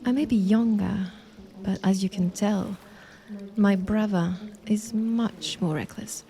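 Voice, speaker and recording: A woman playing a calm, stern younger monster sister in an audio drama, with measured, formal delivery. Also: mid-range voice. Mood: grave reproach. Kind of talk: dramatic audio roleplay acting.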